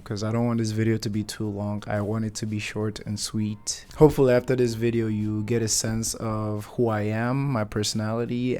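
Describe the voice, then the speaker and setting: A young man from Nigeria, a painter hosting his own channel, answering viewer questions in a relaxed, chatty way. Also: mid-range voice. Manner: relaxed, chatty, laughs and rambles a bit. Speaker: YouTube channel host, painter. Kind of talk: casual Q&A chat to camera.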